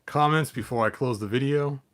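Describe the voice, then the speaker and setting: A man with a medium-pitched voice hosts a casual collector's vlog. He rambles from point to point and often talks half to himself while handling things. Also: a little fast, fairly bright tone. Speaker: toy collector, video host.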